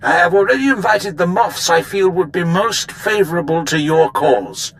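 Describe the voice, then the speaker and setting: An actor playing a formal, deferential Imperial officer giving a report in a video game. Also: male voice, sits high, animated, normal speed, a bit bright, slight background noise. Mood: dutiful.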